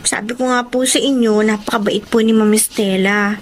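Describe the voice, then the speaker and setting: High-pitched, earnest young Filipina drama heroine, woman acting. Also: steady tone, normal speed, a little hiss or hum, lightly acted. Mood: apologetic, sincere. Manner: polite, deferential. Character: earnest, troubled young working daughter with a secret boyfriend.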